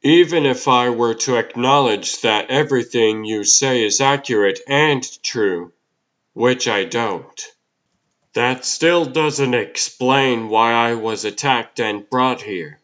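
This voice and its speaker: A man's voice: mid-range voice, slow, fairly bright tone, dull audio. A man playing a young superhero in a comic dub, stern and defiant, with blunt, terse, unimpressed delivery. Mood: defiant, impatient. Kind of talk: dramatic acting in a comic dub.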